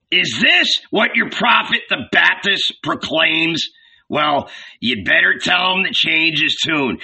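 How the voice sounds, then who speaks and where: Male narrator as old village patriarch, high raspy bluster, forceful, mocking, irascible, audiobook drama. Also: full character voice, rough recording. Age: older. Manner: loud, exclamatory, irascible. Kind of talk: dramatic audiobook character reading.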